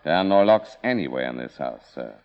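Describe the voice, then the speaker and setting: A man playing a grave, formal, protective manservant in a mid-century radio drama, speaking with measured deference. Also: rumbling low, animated, smooth. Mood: grave, confiding. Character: solemn, formal, protective manservant to a faded star. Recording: dull vintage radio drama recording.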